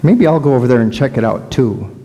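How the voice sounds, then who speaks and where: A man's voice: mid-range voice, expressive, fast talker, warm tone, natural voice. A middle-aged man voicing a skittish, fearful sheep in a first-person sermon monologue, recorded in a large church sanctuary. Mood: anxious. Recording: long church reverb.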